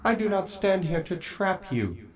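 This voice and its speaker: A woman's voice: fairly low pitch, very animated, unhurried, a crowd in the background, pitched above the performer's own voice. A performer voicing an honorable, weary male vampire knight with a restrained, formal manner in a story reading. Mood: calm, grave.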